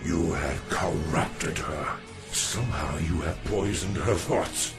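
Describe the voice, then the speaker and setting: A man playing an ancient, solemn alien warrior in a video game, speaking gravely and formally with a deep gravelly voice. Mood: accusing, stern. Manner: slow, grave, formal speech. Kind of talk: dramatic video game acting. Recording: loud game music underneath.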